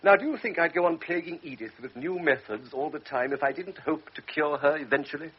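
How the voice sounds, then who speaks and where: A brusque, cynical doctor. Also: male voice, a little high, brisk, husky, dull audio. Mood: lecturing. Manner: brusque, lecturing, world-weary. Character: blunt cynical middle-aged male doctor.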